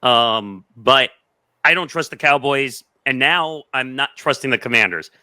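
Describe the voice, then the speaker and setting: Very bright, forceful man debating football. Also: medium pitch, animated, a little slow, clear, resonant tone, no echo. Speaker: sports panelist. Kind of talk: casual sports debate.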